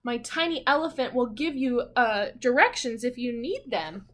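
A woman in her twenties, a teacher reading a picture book aloud and asking children simple questions with pauses for answers. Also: fairly high pitch, big pitch swings, a bit bright. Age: 20s. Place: small room at home, indoors.

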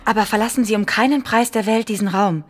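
An actress playing an anxious, secretive woman in an audio drama, giving warnings in short, urgent, hushed phrases. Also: medium pitch, fairly even intonation, fast talker, lightly acted.

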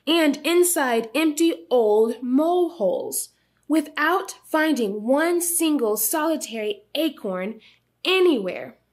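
High-pitched young woman reading expressively to children. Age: young adult. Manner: expressive, teacherly, prompting predictions. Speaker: storytime host and teacher. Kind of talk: children's read-aloud with lesson commentary.

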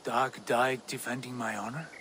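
An actor playing a grave, fervent Norse warrior who believes himself a god, speaking in a portentous, measured way for a video game. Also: male voice, mid-range voice, steady tone, noisy background, natural voice. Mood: proud, scornful. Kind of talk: dramatic video game acting.

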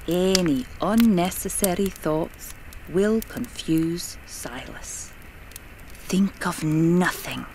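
A woman's voice: fairly low pitch, slight background noise, rough recording. A woman playing a commanding, mysterious spirit medium of the 1920s in a horror audio drama, with formal, theatrical diction. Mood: slow, hypnotic. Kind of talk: dramatic horror podcast acting.

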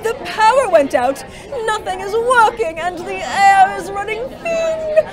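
A woman playing a panicky, theatrical opera diva with a shrill voice in an audio drama. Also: animated, unhurried. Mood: panicked. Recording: crowd commotion behind.

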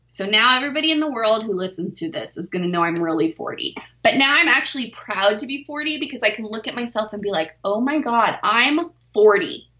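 Woman in her forties, self-deprecating, bright voice, dull roomy audio. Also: on the high side, animated, fairly quick, hardly any pauses. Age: 40s. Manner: open, self-deprecating, laughs easily. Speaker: interview guest, weightlifter. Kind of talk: candid podcast interview.

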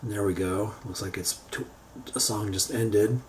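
A man giving an unscripted how-to software demonstration, thinking aloud in a halting way as he works. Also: fairly low pitch. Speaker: tutorial presenter. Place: indoors, beside a computer. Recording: homemade recording with background noise.

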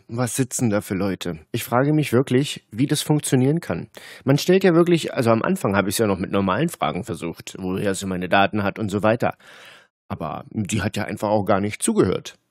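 A man's voice: lowish voice, big pitch swings, brisk, dry recording, clean studio sound. A man speaking straight to viewers, emphatic and indignant as he warns about phone scams. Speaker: channel host. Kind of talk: commentary to viewers.

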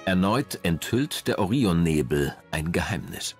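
A man narrating a scripted science documentary in a measured, formal manner. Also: low-pitched, lively intonation, faint music behind.

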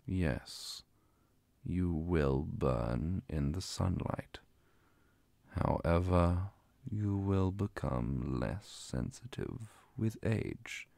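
A courteous vampire inquisitor acted by a man speaks in a low, heavily creaking voice with a soft, breathy husk. He is calm and scholarly, choosing formal words with great deliberation. It is an intimate ASMR roleplay, close and dry. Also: no room sound, heavily acted. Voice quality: breathy, gravelly.

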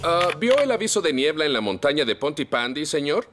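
Kindly cartoon fireman hero, latin american spanish, fairly high voice, loud music behind. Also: very animated, a little fast. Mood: concerned. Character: dependable, kindly adult male firefighter hero. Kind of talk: animated children's series dub.

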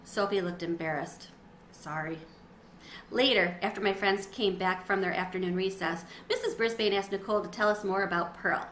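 Bright-voiced woman reading a children's book. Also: medium pitch, fairly quick, hardly any pauses. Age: middle-aged. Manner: animated in dialogue, clear storytelling phrasing. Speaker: read-aloud storyteller. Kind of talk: expressive children's book read-aloud. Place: small windowed sunroom at home. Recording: roomy echo, faint background noise.

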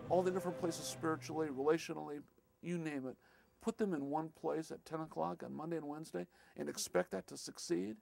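Man, 50s, chapel leader; reflective interview, gravelly, slightly higher pitch. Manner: reflective, earnest. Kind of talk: documentary interview. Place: office with shelves of binders. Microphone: lapel.

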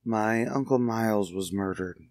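A man's voice: on the low side, a little mellow, dry recording. Man playing worried visitor, apologetic, hesitant, radio play, close dry recording. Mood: troubled. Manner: halting, hesitant. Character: troubled, apologetic male family friend.

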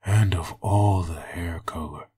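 Very deep, raspy undead vigilante. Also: male voice, warm tone, no room sound. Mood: brooding. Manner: slow, grim delivery. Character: brooding undead vigilante antihero, menacing and haunted. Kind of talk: comic dub voice acting.

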